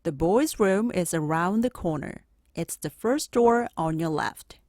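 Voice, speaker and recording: A woman's voice: fairly low pitch, expressive, a little slow, darkish tone, dry recording, clean studio sound. A woman voicing a stern, busy male schoolteacher in a storybook, firm and instructive as if addressing a class. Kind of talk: storybook character voice.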